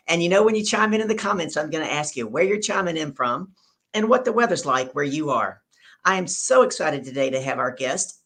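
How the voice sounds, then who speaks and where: A woman's voice: sits low, vocal fry, husky. A woman hosting an online show, chatty and upbeat while telling stories. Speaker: host. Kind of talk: casual chat and storytelling.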